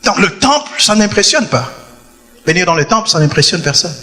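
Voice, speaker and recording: A man's voice: sits high, big pitch swings, brisk, sharp tone. A man preaching a sermon in a church, stressing his points and repeating key phrases. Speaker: pastor. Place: church hall. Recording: long hall reverb.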